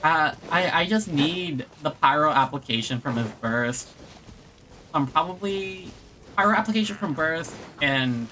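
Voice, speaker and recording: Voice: medium pitch, expressive, unhurried, bright, forceful tone, a little hiss or hum, poor audio. A video game streamer giving casual live commentary, thinking aloud and muttering to themselves while playing. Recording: game music behind the voice. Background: video game music.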